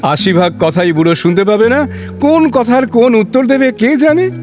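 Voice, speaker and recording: A man's voice: extremely high pitch, expressive, normal speed, a little mellow. An actor playing a chatty, polite young man in love in an audio drama. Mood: playful, teasing. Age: young adult. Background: music.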